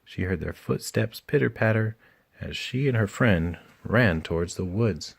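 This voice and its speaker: A man reading a children's story aloud in an animated storytelling style. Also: lowish voice, unhurried, vocal fry, slightly rough. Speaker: children's book reader.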